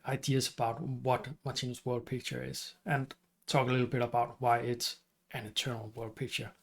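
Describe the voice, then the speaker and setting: A middle-aged man with a Danish accent, hosting his channel and explaining at an unhurried pace with some fillers and restarts. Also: mid-range voice. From Denmark. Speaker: channel host and teacher. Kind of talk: casual explainer talk to camera.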